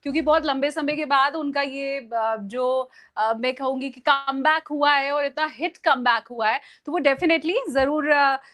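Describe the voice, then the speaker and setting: A woman's voice: sits high, measured pace, hardly any pauses, a bit bright. A woman giving conversational political commentary, emphatic and explanatory, repeating phrases for stress. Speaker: journalist guest on a discussion show.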